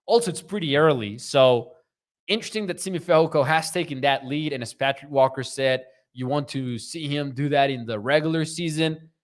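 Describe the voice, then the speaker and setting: A fairly high-voiced young man speaking English with a Mexican accent, hosting a casual live sports podcast. He talks conversationally, reacting to and reading out comments from his chat. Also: fairly quick. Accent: Mexican Spanish. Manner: conversational, reads viewer comments aloud. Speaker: podcast host.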